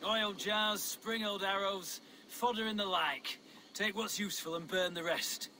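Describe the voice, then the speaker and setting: Casting: plain-spoken Saxon rebel leader in a video game, higher male register, grim resolve. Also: fairly even intonation, a little slow, sharp tone, a little hiss or hum, full character voice. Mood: gruffly brisk. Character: blunt, earthy Saxon rebel leader, grimly determined.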